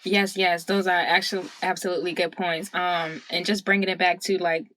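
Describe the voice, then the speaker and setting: A woman co-hosting a podcast, warmly affirming her partner and quoting scripture in casual chat. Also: on the low side, flat delivery, moderate pace, no room sound, rough recording. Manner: affirming, encouraging. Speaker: podcast co-host.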